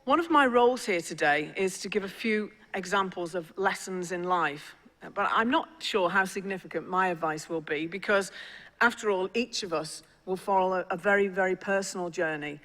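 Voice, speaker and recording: A middle-aged speaker with a Liverpool accent, a senior university official giving a measured, encouraging formal graduation address. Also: medium pitch, a bit bright. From England (Liverpool). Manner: measured, encouraging, formal delivery with small restarts. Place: large ceremonial hall. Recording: long hall reverb at ceremony.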